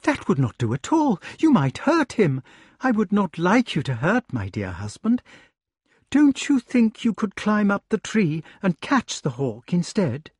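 A man's voice: huge pitch swings, a little slow, a little mellow, husky, dry recording, full character voice. A man doing a fluttering, fretful lady. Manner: high, fluttering, anxious. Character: fretful gentlewoman, chattering and flustered.

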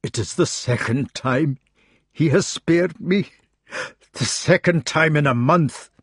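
A man's voice: fairly high pitch, slow pace, a bit bright, breathy edge, no echo, clean studio sound, pitched above the performer's own voice, exaggerated voice. A man voicing a wounded, tearful Scottish knight who speaks in strained, despairing tones. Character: wounded, weeping burly male Scottish knight.

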